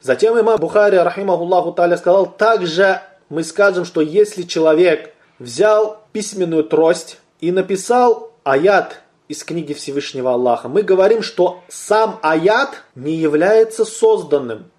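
A man teaching a religious lesson to his listeners, whom he calls brothers. His voice sits a little high for a man but sounds mellow and relaxed. He poses questions and answers them himself, stretching out the key phrases. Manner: deliberate, slows down to stress key points, asks and answers own questions.

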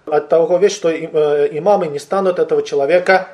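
A man's voice: on the high side, steady tone, quick pace, warm tone. A man giving a religious lecture, explaining terms step by step, asking rhetorical questions and answering them himself, quoting Arabic words. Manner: repeats and rephrases terms, quotes Arabic words. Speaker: religious teacher. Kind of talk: theological lecture.

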